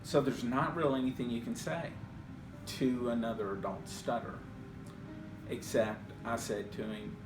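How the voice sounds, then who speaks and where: A middle-aged man who stutters, speaking reflectively in an interview, measured, with pauses and repeated words. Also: mid-range voice, fairly even intonation, noisy background. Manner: measured, with pauses and word repetitions. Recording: roomy echo, distant mic, music behind. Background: guitar music.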